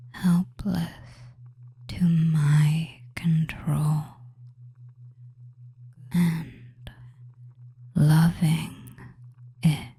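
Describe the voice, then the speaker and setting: A performer voicing a possessive, doting witch in a whispered hypnosis roleplay, lulling and controlling, with deliberate pauses and a breathy delivery. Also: medium pitch, warm tone, exaggerated voice. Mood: hypnotic, commanding.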